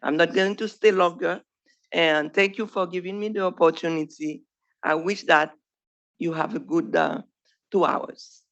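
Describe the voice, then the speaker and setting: Haitian-accented woman, gravelly voice. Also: lowish voice, steady tone, a little slow, lo-fi sound. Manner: earnest, plain speech with small grammar slips. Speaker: primary care doctor. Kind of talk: presentation to trainees. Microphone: podium microphone.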